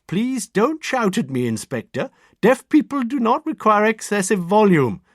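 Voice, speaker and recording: A man's voice: sits high, sing-song, moderate pace, dry recording, studio quality, pitched above the performer's own voice. A man voicing an elderly, deaf, retired head nurse who lectures precisely and primly. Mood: tart, lecturing.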